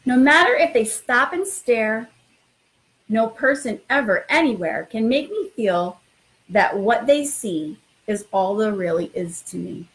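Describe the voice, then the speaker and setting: Higher-voiced woman reading to children. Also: lively intonation, measured pace, fairly bright tone, low-quality recording. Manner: encouraging, addresses children directly. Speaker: elementary school principal. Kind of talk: informal talk and children's picture book read-aloud.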